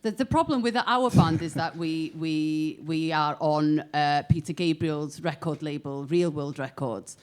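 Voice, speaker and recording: A woman from Wales, a singer in a band, chatting on a panel and telling stories in an animated way, often laughing and restarting her phrases. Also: deep voice, measured pace, hardly any pauses. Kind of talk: casual panel chat.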